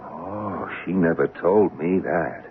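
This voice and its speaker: An actor playing a brusque, easily alarmed producer in an old-time radio drama, indignant and impatient. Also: male voice, low-pitched, slow pace. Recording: phone-like, noisy old radio recording.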